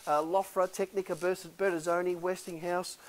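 A man's voice: high-pitched, little pitch movement, normal speed. A middle-aged man, an auctioneer walking through a warehouse and talking up the lots in a chatty salesman patter full of fillers. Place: large warehouse indoors.

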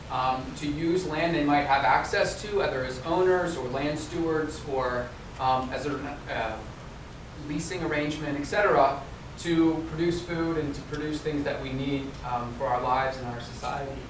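A man's voice: fairly high pitch, recorded from a distance. A middle-aged man giving a conference presentation in a lecture room, conversational with many ums, quizzing the audience. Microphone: far room camera, no mic seen. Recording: echoey room recorded from afar, noisy.

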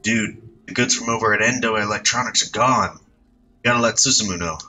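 A man's voice: fairly low pitch, fairly quick, bright, forceful tone, slight background noise, pitched above the performer's own voice, low-quality recording. A man voicing a bossy young male delinquent in red who talks in slang and snarls. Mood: urgent.

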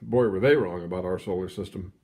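A man reading a children's science book aloud in an expressive storytelling manner, stretching words for emphasis, with casual asides. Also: low voice. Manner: animated, storytelling delivery with drawn-out emphasis. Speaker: read-aloud reader.

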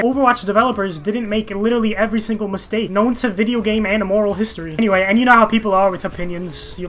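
A man's voice: sits high, fairly bright tone. A young man chatting casually over his own gameplay, rambling off topic and joking, swearing freely, talking into a gaming headset in his bedroom. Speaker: gaming streamer.

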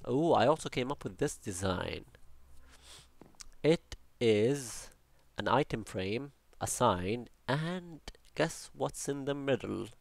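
A man's voice: medium pitch, lively intonation, takes it slowly, creaky, dry recording. A young man with an Arabic accent, casually commenting while building in a game, rambling with jokey asides and talking to himself. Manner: chatty, rambling, jokey asides and self-talk. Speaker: Minecraft building channel host.

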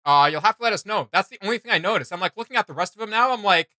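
A man's voice: high-pitched, animated, very fast, pushed and bright, no room sound. A man in his thirties chatting casually and emphatically as an enthusiastic host of reaction videos. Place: small home room with computer desks, indoors.

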